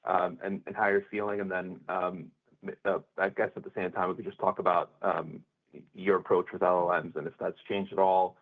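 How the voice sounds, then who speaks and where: Low-voiced man on a tinny phone line. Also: flat delivery, a little fast. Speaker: analyst asking questions. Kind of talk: casual, unscripted earnings call questioning. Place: phone call.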